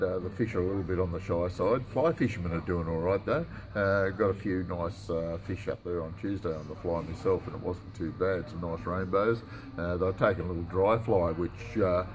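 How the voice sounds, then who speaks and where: A man's voice: low-pitched, a little hiss or hum. A man in his sixties with an Australian accent gives an informal report to camera in a laid-back, plain-spoken, colloquial way. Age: 60s. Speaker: tackle shop presenter. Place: indoors in a tackle shop.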